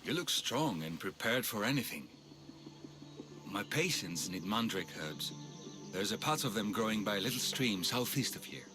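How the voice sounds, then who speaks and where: A man playing a gentle, practical physician of ancient Greece in a video game, speaking reassuringly. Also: on the low side, lively intonation, normal speed, over music, close to an everyday voice. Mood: businesslike. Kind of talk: video game dialogue acting.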